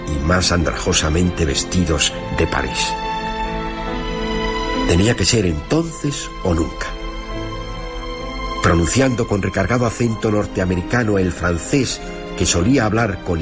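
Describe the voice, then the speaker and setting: An actor playing a wry, worldly narrator of a short story in measured radio style. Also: male voice, low-pitched. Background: clear background music.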